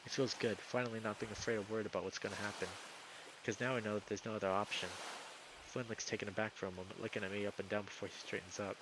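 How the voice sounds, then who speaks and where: A man's voice: on the low side, fairly even intonation, quick pace, noisy background. A man reading a visual novel aloud as a streamer, in dramatic first-person narration.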